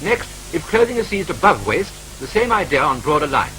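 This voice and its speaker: A British man narrates a wartime training film in a clipped, wry newsreel manner. His voice is bright and forceful and swings up and down. A faint hiss of an old film soundtrack runs beneath him. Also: on the high side, sing-song, low-quality recording. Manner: brisk, clipped, wryly matter-of-fact.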